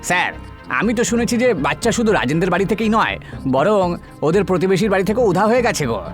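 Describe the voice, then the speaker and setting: A high-voiced, deferential constable played by a man in an animated tale. He is cautious and a little hesitant, with light music behind him. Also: fast. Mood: deferential, wary. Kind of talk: animated story voice acting.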